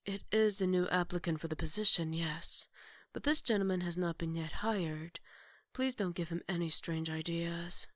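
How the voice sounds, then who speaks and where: A strict chief maid of a grand estate, acted by a woman in an ASMR roleplay. Her voice sits fairly low and is recorded close and dry. She speaks formally and with measured, sarcastic reproof. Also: sharp tone, dry recording. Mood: scolding.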